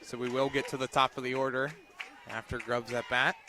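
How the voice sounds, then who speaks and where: A man doing live radio play-by-play commentary of a softball game from outdoors at the field. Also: medium pitch, level tone, brisk, a crowd in the background. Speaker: play-by-play sports announcer.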